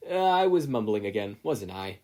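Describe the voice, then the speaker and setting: A man's voice: lowish voice, big pitch swings, normal speed, mellow. A man voicing an anxious, earnest fourteen-year-old boy who stammers and gets flustered in an audiobook story. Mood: hesitant, hopeful. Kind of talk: audiobook character voice.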